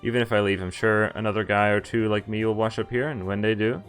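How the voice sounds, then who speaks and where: A man streaming a game, reading a visual novel aloud in expressive first person with casual, offhand asides. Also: fairly low pitch, fast, clear, resonant tone. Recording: music behind the voice. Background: game music.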